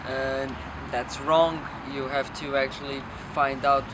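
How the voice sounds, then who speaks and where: A man's voice: medium pitch, flat delivery. A man rambling philosophically off the cuff, searching for words with hesitations and some swearing. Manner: halting, searching, profane. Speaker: documentary film subject. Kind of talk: unscripted rambling philosophical musing. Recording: noisy outdoor street with traffic.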